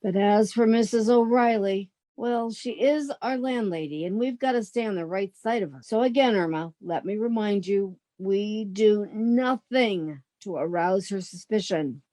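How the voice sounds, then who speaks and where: A woman's voice: mid-range voice, unhurried, few pauses, mellow, dry recording, close to an everyday voice. Woman acting sensible young roommate, closely recorded. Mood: brisk, managing. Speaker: amateur theatre performer. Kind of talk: 1940s radio sitcom acting.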